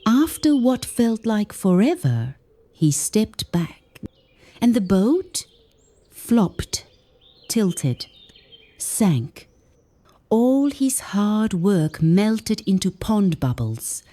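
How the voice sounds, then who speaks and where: Casting: female children's storybook narrator, gentle and expressive, warm dark tone, slow pace, very animated melody. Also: medium pitch. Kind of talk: scripted read-aloud children's story narration.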